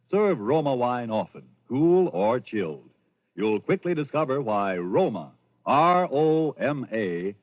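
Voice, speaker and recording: A male commercial announcer reading a 1940s radio sponsor advertisement with a polished, emphatic sales delivery. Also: medium pitch. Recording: dull vintage radio broadcast recording.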